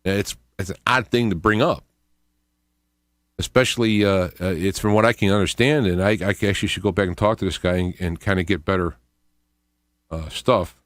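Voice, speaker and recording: A middle-aged to older man hosting a radio show, thinking aloud in a halting, unscripted monologue with repeated words. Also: low voice, no room sound. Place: small indoor home studio. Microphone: close studio microphone with headphones.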